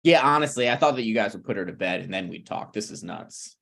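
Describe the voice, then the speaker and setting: A man's voice: on the low side, animated, fast talker, creaky, fairly bright tone, full character voice. A man reading the part of a flippant, needling, self-deprecating screenwriter in a conversational comedy table read. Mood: exasperated.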